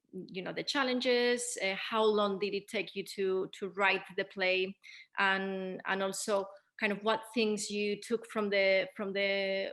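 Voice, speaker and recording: A woman with a mid-pitched voice moderates a live question-and-answer session. Her phrasing is informal and a little hesitant as she puts questions to the guests. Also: fairly bright tone. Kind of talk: hosting a live Q&A.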